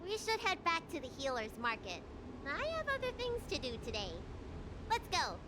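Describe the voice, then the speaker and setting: Little girl healer, very high voice, childlike, precocious, earnest, game dialogue, noisy background. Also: big pitch swings, moderate pace. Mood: curious, brisk. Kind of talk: scripted game voice acting. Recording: noisy game capture with ambience.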